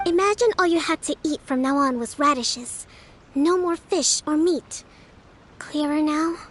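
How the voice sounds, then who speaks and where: A woman voicing a composed, earnest young priestess leader with formal, measured speech in an anime video game. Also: high voice. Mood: composed, explanatory. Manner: measured, formal, polite and authoritative.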